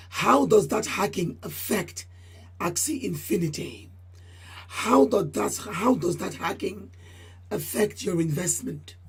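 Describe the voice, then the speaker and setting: A woman hosting a channel and giving a formal market update, her voice deeper than usual as she gets over a cold, repeating key phrases and addressing viewers as ladies and gentlemen. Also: husky. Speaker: channel host giving crypto price updates. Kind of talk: solo market update talk.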